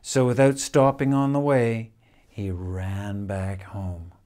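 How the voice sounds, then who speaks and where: Slow-reading older man, storybook narrator. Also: lowish voice, flat delivery, a little mellow. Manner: measured, deliberate phrasing with dramatic pauses. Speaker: storyteller reading a picture book. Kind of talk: expressive children's storybook reading. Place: indoors, room in a house with stone wall.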